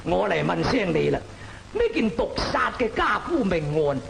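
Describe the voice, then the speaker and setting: An actor playing a stern imperial magistrate in an old opera film, declaiming half in chant with drawn-out syllables. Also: male voice, high voice, sing-song, vocal fry, background noise, heavily acted. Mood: questioning. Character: stern, deliberating imperial magistrate presiding in court. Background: music.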